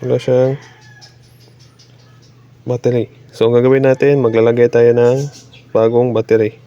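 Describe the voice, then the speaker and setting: A man with a Filipino accent narrating a hands-on repair tutorial casually and haltingly as he works, mixing in English technical terms. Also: mid-range voice, little pitch movement, warm tone. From Philippines. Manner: halting, talking while working, mixing Tagalog with English terms. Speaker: repair technician demonstrating a fix. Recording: dull lo-fi audio, faint background noise.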